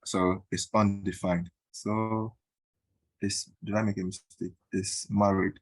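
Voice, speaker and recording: A man teaching a coding tutorial in a halting way, with many fillers and frequent "right?" checks. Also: fairly low pitch, flat delivery, rough recording. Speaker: coding instructor. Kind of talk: screen-recorded coding tutorial.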